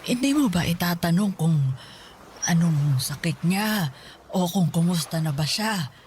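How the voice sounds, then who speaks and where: A woman's voice: on the low side, expressive, unhurried, darkish tone, a little hiss or hum. A stern, questioning old grandmother. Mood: reproachful. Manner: questioning, scolding. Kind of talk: Tagalog radio drama acting.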